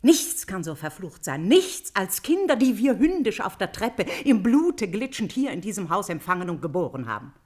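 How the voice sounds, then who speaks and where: An actress playing a bossy, indignant palace servant in a classical radio tragedy, commanding and scornful. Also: female voice, medium pitch, big pitch swings, normal speed, hardly any pauses, a little mellow.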